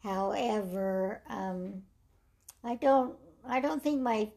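Older woman with a raspy, gravelly voice. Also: medium pitch. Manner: slow, measured storytelling with soft trailing phrases. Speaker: interviewee. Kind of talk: reflective life-story interview.

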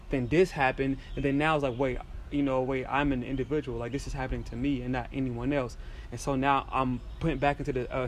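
A man's voice: medium pitch, darkish tone, slight background noise. A young man whose first language is French and who grew up in France, talking in a reflective, rambling way and leaning on "you know" a lot. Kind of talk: casual conversation.

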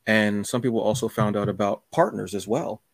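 A man hosting a casual interview, speaking candidly and a little haltingly, repeating words as he goes. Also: on the low side, steady tone, no room sound, studio quality. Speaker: podcast host.

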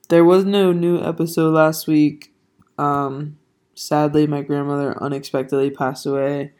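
A young adult host chats alone on a podcast, the voice still hoarse and not fully recovered. The delivery is flat and even, with little rise and fall, and it wanders from point to point with many ums and restarts. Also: medium pitch. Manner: rambling, filler-heavy, self-correcting. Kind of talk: casual solo podcast chat.

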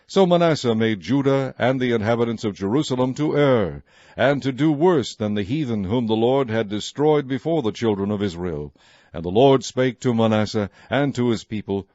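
A man reading scripture aloud in a measured, formal, solemn narration style. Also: medium pitch, a little mellow, dry recording, dull audio. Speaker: Bible reader.

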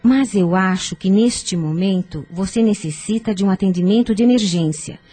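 A woman playing a calm, wise spiritist counsellor in a radio drama, with measured, gentle, didactic delivery. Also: medium pitch, husky, lightly acted.

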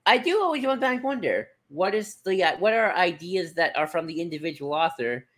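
A man's voice: high voice, animated, brisk, a bit bright. A man co-hosting a podcast, chatting casually in a loose, rambling way as he thinks aloud. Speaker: podcast co-host. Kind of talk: casual chat about books.

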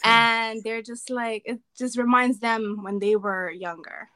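Bright higher-pitched woman from the Bronx. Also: fairly even intonation, unhurried, no room sound. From the Bronx, New York. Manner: mixes Spanish phrases into her English. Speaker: podcast guest, catering business owner. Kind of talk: casual interview chat.

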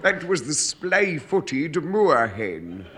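An actor playing a chatty, imposing next-door neighbour in a 1950s radio comedy, with a nasal voice and a knack for loud animal impressions. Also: male voice, on the high side, very animated, very slow, exaggerated voice. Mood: proud. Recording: noisy old radio archive recording.

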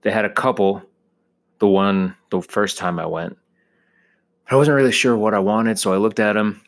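An American man in his thirties rambling casually in a joking, self-deprecating way, full of exaggeration. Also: low-pitched. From United States. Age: 30s. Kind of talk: casual rambling solo monologue.

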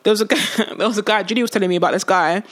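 A woman's voice: medium pitch, racing. A woman in her thirties, chatting casually as a podcast co-host, emphatic and rambling, with many fillers. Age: 30s. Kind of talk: casual chat.

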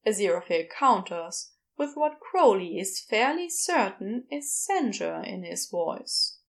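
A woman's voice: mid-range voice, very animated, a little slow. A woman reading scripted fiction narration as the narrator of a podfic story.

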